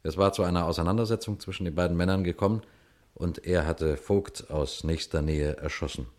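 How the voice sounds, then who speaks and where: A low male voice of an actor plays a shrewd police detective in a radio crime drama. He speaks deliberately and pointedly, unhurried as he lays out his case and turns directly to his listeners. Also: steady tone, a little mellow, natural voice. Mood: calm, explanatory. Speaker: detective and narrator. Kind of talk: German radio crime drama acting and narration.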